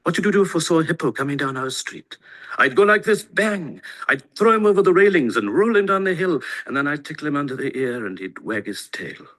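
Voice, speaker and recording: A man's voice: a little high, expressive, fairly quick, a bit bright, pitched above the performer's own voice. A man reading as a mischievous, imaginative young boy. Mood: playful, eager. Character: imaginative, mischievous young boys out playing at Christmas.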